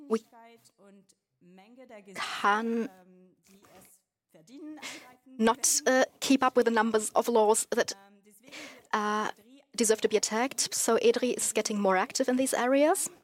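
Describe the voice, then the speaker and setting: A woman representing a digital rights organization, giving a conference talk with slow, hesitant phrasing and self-corrections. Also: mid-range voice. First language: not German. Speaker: NGO representative.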